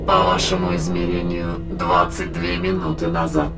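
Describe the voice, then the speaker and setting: Gravelly, cold alien intruder. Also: male voice, fairly high pitch, very animated, loud music behind the voice, heavily acted. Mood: cold, evasive. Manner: slow, formal, measured speech. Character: cold alien intruder inhabiting a dead woman's body.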